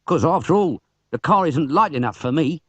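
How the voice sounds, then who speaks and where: A British man voicing a rough, blunt rally driver with colloquial speech in a storybook. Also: on the high side, expressive, normal speed, a bit bright, no echo, pitched above the performer's own voice. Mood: exclamatory. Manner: colloquial, clipped grammar.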